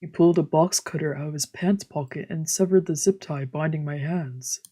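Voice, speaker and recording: A woman streamer with a breathy voice reading visual novel text aloud as dramatic narration. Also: sits low, moderate pace, mellow. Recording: game music behind the voice. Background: game music.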